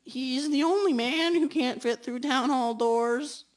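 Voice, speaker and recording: A woman playing a loyal, slow-witted detective's sidekick in a radio drama, with hesitant, drawn-out delivery. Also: high voice. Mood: droll. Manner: hesitant, drawn-out, humming before answering. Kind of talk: amateur school radio drama acting.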